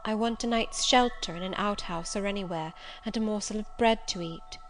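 A woman's voice: medium pitch, normal speed, slight background noise, lightly acted. A woman voicing an exhausted young female wanderer in an audiobook, desperate, earnest and imploring. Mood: pleading, desperate. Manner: imploring, formal wording. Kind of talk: audiobook character voice.

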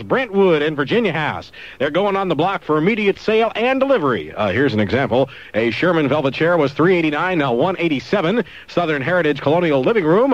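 A man's voice: on the high side, no room sound. A man working as a radio DJ, delivering jokey, punchy 1970s top-40 patter and ad reads. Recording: dull lo-fi 1970s AM radio aircheck.

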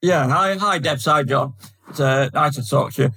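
An older man with a Manchester accent, chatting easily as a podcast guest and filling pauses with um. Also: a little high, sharp tone, slightly rough. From Manchester, England. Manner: chatty, friendly, fills pauses with um. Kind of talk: casual chat.

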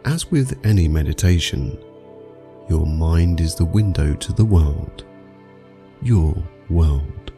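A man narrating a scripted guided meditation for sleep, speaking soothingly and in measured phrases with long pauses. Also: low voice, expressive, dark tone, faint music behind.

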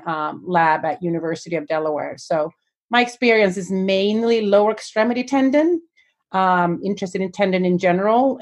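A woman's voice: lowish voice, a little mellow. Swedish-born woman, chatty and self-deprecating. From Sweden. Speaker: physical therapy professor and researcher. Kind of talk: panel podcast discussion.

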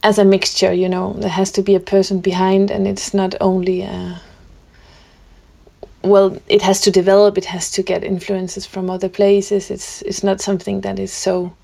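Gravelly woman, hesitant and thoughtful. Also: medium pitch, monotone, normal speed, mellow. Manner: hesitant, with many fillers and restarts. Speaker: guest musician. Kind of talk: casual conversation.

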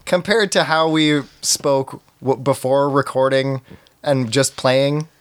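A man player in a tabletop game podcast, chatting casually with a lively, teasing manner. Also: medium pitch, clean tone. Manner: lively, quick to tease. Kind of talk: casual chat.

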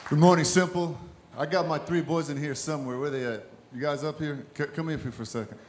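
A man's voice: on the high side, steady tone, brisk. Casual man, noticeable background noise. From born in Brazil, raised in the US. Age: middle-aged. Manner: casual, folksy. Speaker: guest church planter. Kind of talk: informal testimony to congregation. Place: church stage. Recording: background noise in live church.

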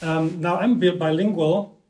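A man's voice: a little high, steady tone, measured pace, a little mellow. A man whose first languages are Dutch and German, co-hosting a podcast and asking long, winding questions with many ums. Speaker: podcast co-host. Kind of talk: casual music discussion. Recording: echoey room.

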